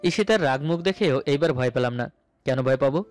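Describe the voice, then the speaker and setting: A man's voice: medium pitch, a little fast, a little mellow. A man narrating a romantic story dramatically in the first person, as its male lead. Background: background music.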